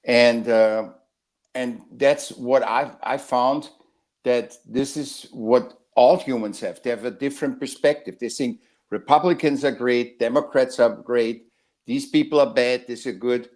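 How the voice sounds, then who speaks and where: A man, a guest artist heard over a video call, wanders through his thoughts. His sentences stop and restart, and he circles back to find a word. His voice sits at an ordinary middle pitch for a man. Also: fairly even intonation, darkish tone. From Austria. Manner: rambling, halting, with many restarts. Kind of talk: casual interview talk.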